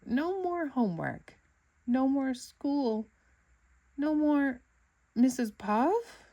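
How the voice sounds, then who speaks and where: Woman doing an excitable, earnest cartoon sponge, high-pitched. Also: drawn-out words, muffled recording, full character voice. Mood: happy. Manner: gushing, earnest, emphatic. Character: excitable, tenderhearted, naive young male cartoon sponge student.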